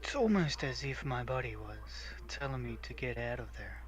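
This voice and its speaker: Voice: mid-range voice, animated, moderate pace. A giggly, playful game streamer who switches between casual chat and dramatic read-aloud narration, with game music behind. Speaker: streamer reading a visual novel.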